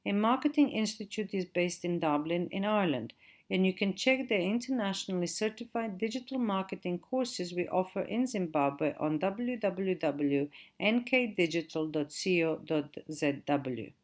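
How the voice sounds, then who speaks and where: A middle-aged woman from Croatia speaks with a Croatian accent in a slightly low voice. She delivers a steady recorded lecture as a digital marketing trainer and slows down to spell out web addresses. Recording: audio-only recording.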